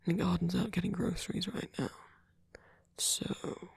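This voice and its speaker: A sweet, doting vampire boyfriend, acted out by a performer, speaks in a very breathy half-whisper. He is gentle and attentive, halting and rambling softly through an ASMR comfort roleplay. The sound is close and dry, with a creaky edge. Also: mid-range voice, level tone, no echo, full character voice. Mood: tender, reassuring. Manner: hesitant, halting, rambling, with soft filler murmurs.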